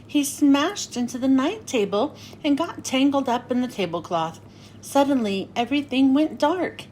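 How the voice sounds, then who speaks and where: A woman reading a picture book aloud for children, in an expressive, sing-song storytelling manner. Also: high voice, normal speed, slight background noise.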